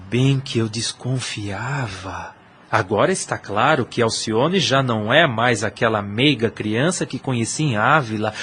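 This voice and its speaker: An actor playing a jealous, wounded suitor in an audio drama, with formal, theatrical diction. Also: male voice, mid-range voice, fairly bright tone, breathy edge. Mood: suspicious, bitter. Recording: music under the voice. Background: music.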